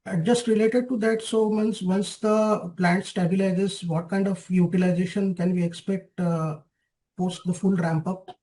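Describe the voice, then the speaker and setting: A man's voice: high-pitched, steady tone, measured pace, warm tone, low-quality recording. A man with an Indian accent politely asking questions as an analyst calling into an earnings call. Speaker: analyst caller.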